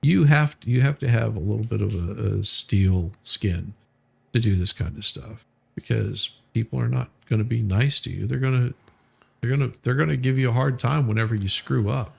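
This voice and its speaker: A man's voice: medium pitch, moderate pace, gravelly, breathy edge. A man in casual livestream conversation, telling anecdotes and repeating phrases as he builds his point. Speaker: musician, bass player, livestream co-host.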